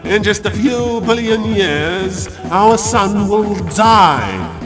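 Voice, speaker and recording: A man's voice: sits high, sing-song, drawn-out words, over music, exaggerated voice. A man playing a grandiose techie who preaches galactic domination, speaking in a pompous, declamatory way in a radio drama. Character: grandiose male techie space-colony zealot.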